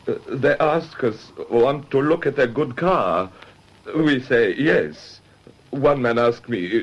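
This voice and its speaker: A man playing a nervous young foreign suspect with a non-native accent and halting, broken English in a radio detective drama. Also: medium pitch, highs cut off.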